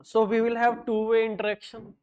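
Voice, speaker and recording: A man's voice: extremely high pitch, monotone, a little mellow, a little hiss or hum. A middle-aged man from North India, whose first language is Hindi, teaching a classroom lecture with a North Indian accent and joking asides. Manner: mixes English with quick Hindi asides, joking.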